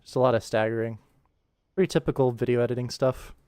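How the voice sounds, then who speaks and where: A man's voice: mid-range voice, fairly even intonation, quick pace, darkish tone, smooth, no room sound, clean studio sound. A man giving casual livestream commentary, informally thinking aloud as he works on an animation production. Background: music.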